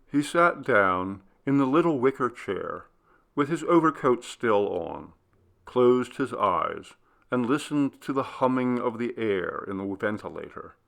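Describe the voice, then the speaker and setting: A man narrating a literary ghost story in a measured, deliberate storytelling manner. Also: medium pitch, very animated, creaky, fairly bright tone. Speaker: audiobook reader.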